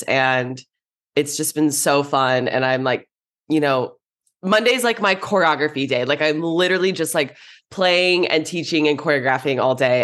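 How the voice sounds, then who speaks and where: A woman's voice: deep voice, a bit bright. Giggly young woman chatting on a podcast, bubbly and gushing, lots of filler words. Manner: bubbly, giggly, says like a lot. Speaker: podcast co-host and dance teacher. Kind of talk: casual chat.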